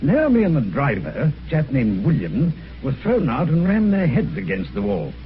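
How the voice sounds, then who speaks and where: A jovial British police inspector chats in a breezy, teasing way in a vintage radio drama. His voice sits a little high and swings animatedly. The recording is dull, with faint noise. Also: huge pitch swings, moderate pace. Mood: breezy explaining. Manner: breezy, amused, chatty. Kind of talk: 1950s radio mystery acting.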